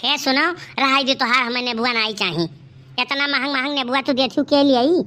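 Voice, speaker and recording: Woman acting huffy cartoon village shopper, Awadhi accent, slightly high bright voice, bickering, haggling. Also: steady tone, fairly quick. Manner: complaining, bickering. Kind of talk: cartoon comedy dialogue.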